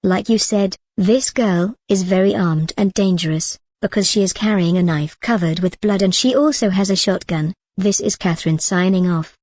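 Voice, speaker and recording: A woman's voice: medium pitch, moderate pace, hardly any pauses, darkish tone, no echo, natural voice. A woman playing a formal TV news anchor delivering a scripted bulletin in an amateur cartoon. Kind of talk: scripted newscast.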